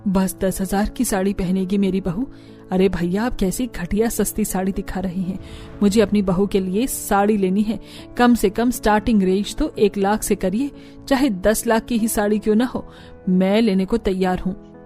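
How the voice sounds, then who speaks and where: A woman puts on a rich, doting mother-in-law for a cartoon story. She sounds mellow and lofty, indulgent and snobbish, with faint music behind. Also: medium pitch, steady tone, normal speed, lightly acted. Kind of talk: Hindi cartoon story dialogue.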